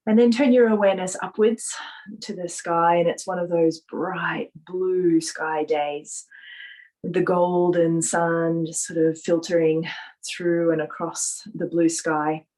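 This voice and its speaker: A woman leading a guided visualisation meditation, speaking softly in a gentle, soothing manner with drawn-out pauses. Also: on the low side, fairly even intonation, poor audio.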